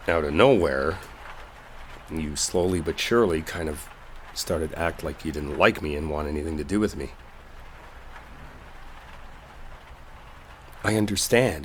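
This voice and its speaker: A man's voice: low-pitched, slight background noise. A man playing an exasperated, defensive coworker who is secretly smitten, in an emotional roleplay audio drama. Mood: subdued, earnest. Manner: emotional, pleading, emphatic, conversational. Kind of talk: roleplay audio drama, intimate one-sided dialogue.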